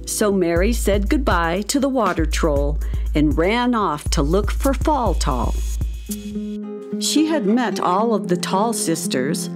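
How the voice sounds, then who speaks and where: Lively, unhurried woman storyteller over music. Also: medium pitch. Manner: measured, expressive storytelling. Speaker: narrator. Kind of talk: scripted children's storybook narration.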